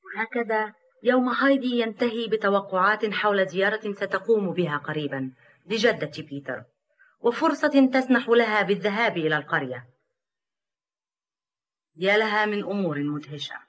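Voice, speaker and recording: A woman's voice: medium pitch, lively intonation, moderate pace, pauses often, fairly bright tone. A woman reading scripted storytelling narration for a children's cartoon.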